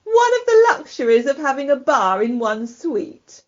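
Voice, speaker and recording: A woman's voice: sits high, big pitch swings, moderate pace. A woman in an amateur radio play, playing a tipsy, flirtatious, well-off widow with a gushing manner. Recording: dull telephone conference line.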